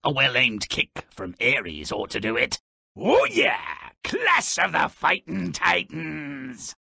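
A nasty little storybook imp narrating a game, gravelly and sharp-edged. His delivery lurches wildly up and down in pitch, slow and savored, full of sardonic glee and malice. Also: wildly swooping pitch, dry recording, clean studio sound, heavily acted. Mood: gleeful spite. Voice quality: gravelly, raspy. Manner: sardonic, exaggerated, relishing malice. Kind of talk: theatrical scripted game narration.

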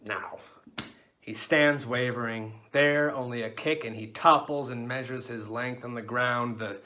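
A man's voice: mid-range voice, fairly even intonation, unhurried, sharp tone. A man playing a defiant, dreamy young outlawed woodsman in a classical stage monologue, theatrical and swinging suddenly between musing and outcry. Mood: bitter.

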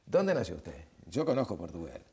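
Lively older male TV host. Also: deep voice, normal speed, breathy edge. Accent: Argentine Spanish. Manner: coaxing, playful, repeats short questions. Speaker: TV matchmaking show host. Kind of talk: studio interview and address to camera. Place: TV studio set. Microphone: lapel.

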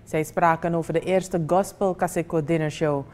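A woman's voice: sits low, fairly even intonation, normal speed, a little mellow. A woman reading scripted news as a presenter, speaking with a Surinamese Dutch accent. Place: TV studio.

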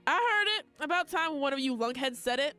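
An actress playing a sassy, blunt household maid in a radio drama. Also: female voice, high voice, expressive, a little fast, pushed and bright, soft background music. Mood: tart. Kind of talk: old-time radio drama acting.

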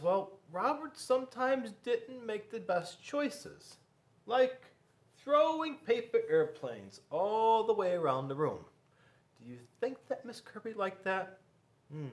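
A middle-aged man reads a picture book aloud to children in a classroom. His voice is fairly high for a man and very lively, with expressive, dramatic pauses. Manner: expressive, dramatic pauses, questions to young listeners. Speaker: school resource officer reading to children. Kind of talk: animated storybook read-aloud. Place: small school classroom, indoors.

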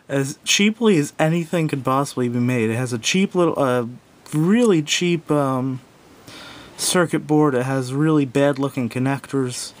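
A man giving a casual, unscripted product review in a halting, self-doubting way, recorded indoors at a tabletop on a camera microphone. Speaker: gadget reviewer. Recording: clear background noise with low rumble.

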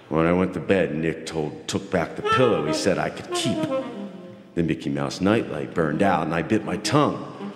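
A man reading a children's story dramatically, with a deliberate, grumbling delivery like a sulky child narrator. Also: very deep voice. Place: large library event room with audience. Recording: roomy live hall with loud saxophone. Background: loud live saxophone.

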